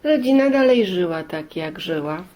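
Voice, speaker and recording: A woman storyteller telling a fairy tale in deliberate short phrases, with many pauses and repeats. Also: lowish voice, animated, a little mellow, slight background noise.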